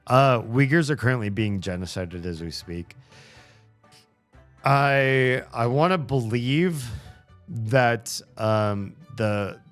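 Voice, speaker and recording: A man streaming and answering chat in a casual, hesitant way, thinking aloud with many pauses and fillers. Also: medium pitch, lively intonation, soft background music.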